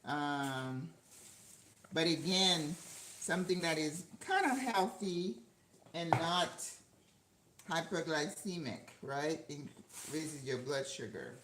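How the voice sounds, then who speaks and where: A woman's voice: low voice, expressive, slow, long pauses. An older woman hosting a channel, chatting casually with viewers while cooking at home. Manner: chatty, talks to viewers and laughs often. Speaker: channel host cooking live. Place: home kitchen. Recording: echoey kitchen with faint background noise.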